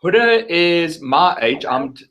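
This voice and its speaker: A man's voice: fairly high pitch, lively intonation, slow, fairly bright tone. A man, hosting a casual interview with upbeat enthusiasm and some stumbles. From South Africa. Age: 30s. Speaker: interview host.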